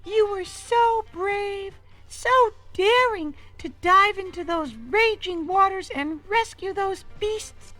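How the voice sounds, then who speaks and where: Breathless adoring cartoon panther girl, squeaky high, man doing it. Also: slow, pushed and bright, full character voice. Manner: breathless, gushing. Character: swooning young female panther Chatta. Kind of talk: 1980s read-along record acting.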